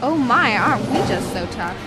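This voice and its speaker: A woman's voice: a little high, expressive, normal speed, over music, natural voice. A woman playing a sassy, street-smart femme fatale in a radio detective drama, teasing and bold. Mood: scolding, mocking. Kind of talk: old-time radio detective drama acting.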